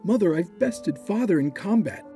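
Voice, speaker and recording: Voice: medium pitch, animated, normal speed, mellow, faint music behind, close to an everyday voice. An actor playing a proud, ambitious twelve-year-old boy in a science fiction audio drama. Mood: boastful.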